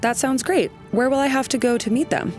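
A woman's voice: high-pitched, a little fast, faint music behind, natural voice. A woman playing a busy, friendly user talking to her assistant in a scripted podcast ad. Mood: grateful, eager.

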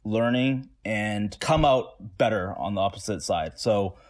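A man from Toronto answering interview questions in a relaxed way, with many fillers and restarts. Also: lowish voice, unhurried. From Toronto, Canada. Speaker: interview guest.

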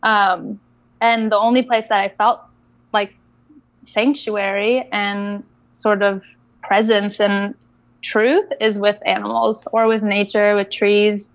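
A young woman from Indonesia, a guest coach telling her story in a casual interview, reflective and unhurried with soft laughs. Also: medium pitch, little pitch movement, no echo, lo-fi sound.

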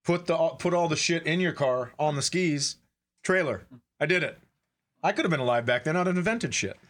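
A man's voice: a little high, expressive, a little fast, a bit bright. A man co-hosting a comedy podcast, riffing casually and profanely and acting out bits.

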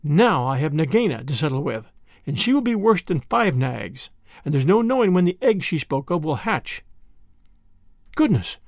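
A brave young mongoose, played by a man reading a storybook speaks in a brisk, determined way. His voice is fairly high with a gravelly grain. It sounds dry and close. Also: very animated, dry recording.

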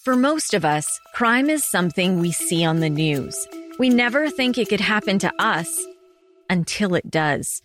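A woman's voice: mid-range voice, expressive, measured pace, a bit bright. A woman crime reporter and podcast host reading a scripted promo narration. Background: music bed.

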